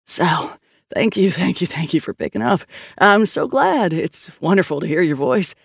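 A man acts out a pleading younger brother in an audiobook. He gushes and stammers over-eagerly, running on nervously, with a creaky edge in a very dry recording. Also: sits high, lively intonation, moderate pace, a bit bright, dry recording, exaggerated voice. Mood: gushing, desperate.

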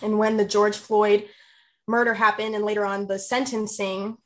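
A woman in her twenties, answering an interviewer with an earnest, heartfelt reflection in measured phrases. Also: medium pitch, little pitch movement, slight background noise. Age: 20s.

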